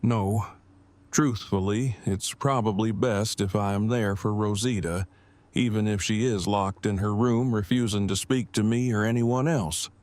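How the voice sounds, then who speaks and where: A man's voice: on the low side, fairly even intonation, unhurried, darkish tone, no echo, close to an everyday voice. An actor playing an earnest, long-winded nerdy man in an audio drama, with formal and precise wording. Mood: awkward, agreeable. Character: earnest, awkward, verbose nerdy man newly in love.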